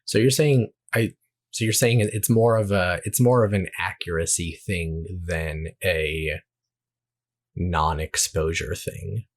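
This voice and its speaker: Deep male voice with a rough, husky edge, measured and conciliatory, casual co-host chat. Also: fairly even intonation. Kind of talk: casual conversation.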